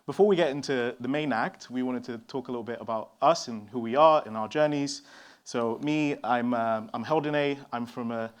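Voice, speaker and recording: Man giving a relaxed conference talk. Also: mid-range voice, moderate pace, clean tone. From São Tomé and Príncipe. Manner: relaxed, many fillers and restarts. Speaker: conference speaker. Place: conference stage before an audience.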